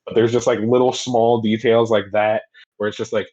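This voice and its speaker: A young man with a deep voice, co-hosting a casual podcast chat, rambling and self-mocking with lots of swearing. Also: level tone, moderate pace, a little mellow, muffled recording. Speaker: podcast co-host.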